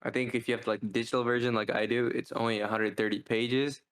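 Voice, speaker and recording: A man's voice: medium pitch, little pitch movement, fast talker, a bit bright, clear, resonant tone. A man hosting a podcast in a casual discussion style. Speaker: podcast host.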